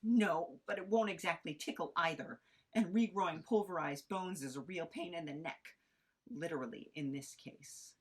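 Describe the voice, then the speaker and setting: Immortal trainee, played by a woman: low-pitched, exasperated, sarcastic protester for sci-fi comedy audio drama. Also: moderate pace, slightly rough. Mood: panicked protest. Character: Napoleon, exasperated, whiny immortal trainee adventurer.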